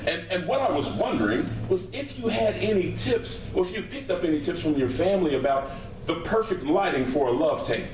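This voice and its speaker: A man's voice: high-pitched, expressive, darkish tone. A man playing a male tabloid reporter who stammers his way into his questions. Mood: probing. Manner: halting, stammering. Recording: echoey stage from far off, noisy.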